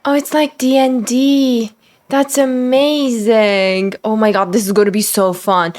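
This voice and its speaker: A woman streamer giving gushing, excitable live commentary while playing a video game. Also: fairly high pitch, measured pace. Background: game music.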